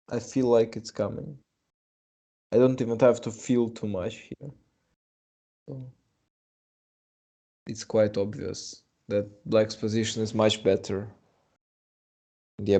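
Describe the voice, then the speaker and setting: A man's voice: on the low side, dark tone. A young man with a Russian accent, a native Russian speaker, doing live chess commentary in English, chatty and hesitant with many fillers.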